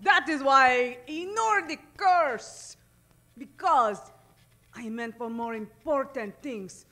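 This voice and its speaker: A woman's voice: high voice, bright, forceful tone, exaggerated voice. A woman playing a scheming, haughty Mexican villainess who frightens easily, in a melodramatic comedic old-time radio style. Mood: grandly determined. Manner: melodramatic, halting delivery.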